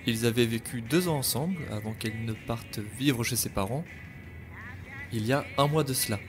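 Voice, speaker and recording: A man's voice: medium pitch, moderate pace, over music. A man narrating a podcast story in a wry, storytelling manner.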